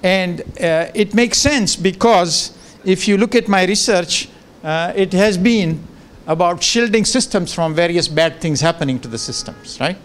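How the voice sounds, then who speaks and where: An older man whose first language is Gujarati gives a relaxed, joking guest lecture into a handheld microphone in a large lecture room, with many fillers and restarts. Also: high-pitched, measured pace. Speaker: professor giving a guest lecture. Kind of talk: informal lecture with asides.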